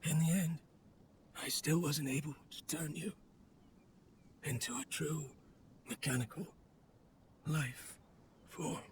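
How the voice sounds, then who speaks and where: A man's voice: fairly high pitch, slow pace, pauses often, slight background noise. A man playing a weary, lonely researcher in a video game monologue, wistful and solemn in manner. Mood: despairing, regretful. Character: weary, lonely male researcher obsessed with his machine creation.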